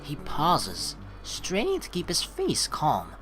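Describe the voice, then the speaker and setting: Playful, animated low-voiced woman gamer. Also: slow pace. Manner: playful, chatty, reacts to the story. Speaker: let's play host. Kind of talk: game playthrough, reading narration aloud with casual commentary. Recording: game music behind voice.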